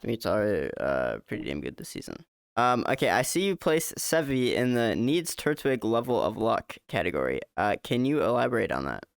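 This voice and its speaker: A man's voice: on the low side, huge pitch swings, normal speed, vocal fry, clean tone, dry recording, studio quality. A man co-hosting a casual online interview, chatting in a laid-back, slangy way and asking follow-up questions.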